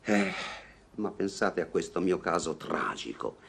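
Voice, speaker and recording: An actor playing an awkward, earnest gentleman newly in love, speaking theatrically in a vintage Italian radio comedy. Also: sits low, expressive, measured pace, creaky, slightly rough, heavily acted. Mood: pleading, agitated. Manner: theatrical, hesitant declarations.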